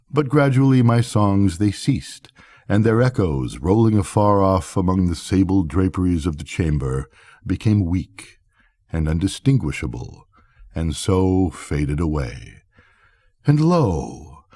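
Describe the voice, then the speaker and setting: Deep, slow, husky older man reading. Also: warm tone. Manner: solemn, measured, theatrical delivery. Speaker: storybook reader. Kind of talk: dramatic bedtime reading of a gothic tale.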